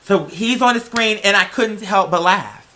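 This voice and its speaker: A host in a casual comic rant, telling a story in a sassy, profane, emphatic and animated way. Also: medium pitch, moderate pace.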